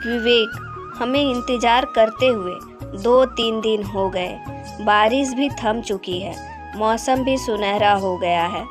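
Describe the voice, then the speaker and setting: Hopeful village wife in a cartoon fable, persuasive, rather high voice over light music. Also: female voice, slow. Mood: hopeful, encouraging. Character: hopeful, encouraging poor farmer's wife, adult village woman. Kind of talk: moral-story cartoon dubbing. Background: background music.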